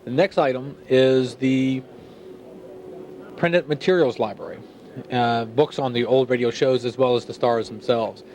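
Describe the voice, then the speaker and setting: A man explaining a club's services in a formal, somewhat halting presentation. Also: mid-range voice, a little mellow, a little hiss or hum. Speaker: radio club member presenting the club.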